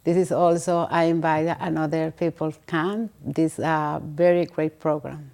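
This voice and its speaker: A woman from Colombia who has school-age children and speaks earnest, halting English with a Colombian Spanish accent, answering interview questions as a student learner. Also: sits low, fairly even intonation, gravelly, a little mellow. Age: adult with school-age children. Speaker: adult English learner, guest.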